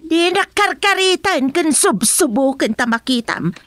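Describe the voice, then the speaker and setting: Ilocano woman as a councillor, high voice, talks slow, pleads and argues. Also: expressive, fairly bright tone, dry recording, studio quality. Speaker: village councillor. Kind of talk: radio drama acting.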